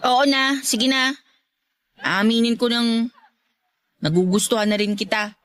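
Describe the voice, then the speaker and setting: Feisty young Filipino woman, high voice, blunt, exasperated, secretly fond, radio drama. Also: steady tone, a little slow, a bit bright, slight background noise. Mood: grudgingly fond. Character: feisty, irritable young woman hiding her affection. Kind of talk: Tagalog radio drama acting.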